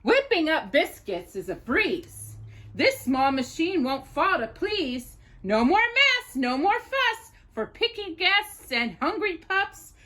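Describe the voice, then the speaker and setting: A woman voicing a picture-book saleslady dog, pitching a kitchen gadget in sing-song rhyming patter. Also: sing-song, takes it slowly, pushed and bright, full character voice. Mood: chirpy selling.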